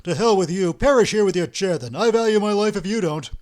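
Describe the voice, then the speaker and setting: A man voicing a brash, scheming male con man who barks orders loudly. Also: high-pitched, lively intonation, quick pace, voice pushed up. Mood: angry. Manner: loud, bossy, sharp.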